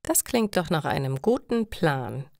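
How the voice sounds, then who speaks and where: Female narrator as supportive middle-aged dad, storybook dialogue, gentle and unhurried, dry close recording. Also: deep voice, very animated, gravelly, no echo, full character voice. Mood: reassuring. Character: middle-aged father, kindly, supportive, reassuring.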